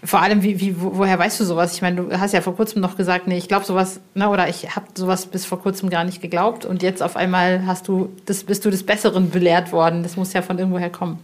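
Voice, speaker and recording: A woman with a voice a little lower than most women's speaks as an interviewer. Her style is casual and conversational, built around informal questions. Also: little pitch movement, fast, few pauses.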